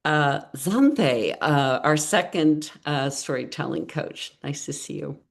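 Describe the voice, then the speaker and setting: A woman's voice: deep voice, slow, vocal fry. A woman hosting an online storytelling gathering, introducing each speaker in a rambling, enthusiastic way. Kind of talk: warm introductions on a video call. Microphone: video call.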